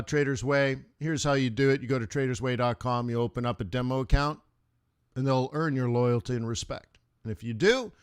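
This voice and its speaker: A man's voice: mid-range voice, clean tone. A middle-aged man hosting a live trading stream, talking casually in rambling asides and laughing often. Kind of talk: casual rambling livestream talk.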